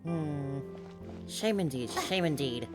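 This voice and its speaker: A woman's voice: sits low, animated, takes it slowly. A woman game streamer giving casual playthrough commentary, musing and humming to herself. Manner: musing, hums to self. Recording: game music behind.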